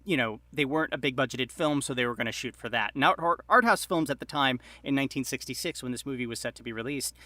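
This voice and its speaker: A man telling a story as a podcast guest in a casual, halting, rambling way. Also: mid-range voice, bright, forceful tone, no echo. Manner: halting, rambling delivery full of hedges.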